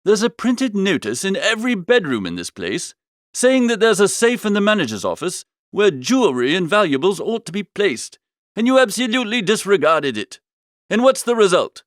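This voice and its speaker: A foppish young upper-class gentleman, voiced by a man in a comic storybook, pitched high and very animated. He talks in earnest, emphatic slang, part ditherer and part indignant scold. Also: moderate pace, no room sound, clean studio sound. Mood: severe, indignant.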